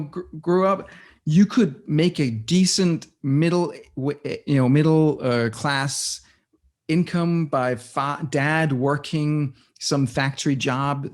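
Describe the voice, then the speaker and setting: Man thinking aloud. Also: a little high. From Denmark. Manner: thinks aloud, with frequent restarts and searching for words. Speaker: guest. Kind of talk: casual conversational interview.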